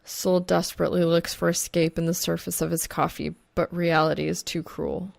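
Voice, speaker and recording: A woman narrator reading fan fiction as an expressive audiobook. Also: on the low side, normal speed, gravelly.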